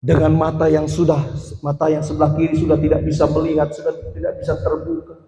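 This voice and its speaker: A man's voice: a little high, monotone, quick pace, mellow. A man in his forties giving a personal testimony as a sermon to a congregation, addressing them directly and building to emphatic passages. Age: 40s. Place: church. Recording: echoey hall with long reverb.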